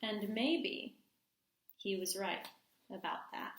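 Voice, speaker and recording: A woman teacher reading a storybook aloud to children, expressively, pausing to put questions to them. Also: medium pitch, a bit bright, slight background noise. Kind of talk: storybook read-aloud with class discussion. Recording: echoey room.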